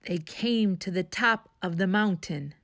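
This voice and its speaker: A woman reading a children's story aloud as a storytime host, with expressive, dramatic emphasis. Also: mid-range voice, a little slow. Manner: dramatic emphasis, asks listeners questions.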